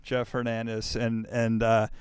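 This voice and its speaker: A middle-aged male host with a clear, smooth voice chats enthusiastically and rambles freely. His pitch sits in a mid range. The mic is close and dry. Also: monotone, a little slow, dry recording. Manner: chatty, enthusiastic, rambling with restarts. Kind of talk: casual interview hosting.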